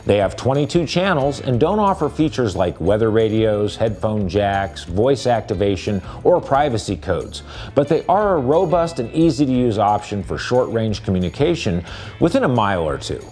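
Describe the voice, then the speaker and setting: A man's voice: lowish voice, sing-song, moderate pace, darkish tone, soft background music. A man giving a scripted explainer voice-over about handheld radios as a store's product presenter.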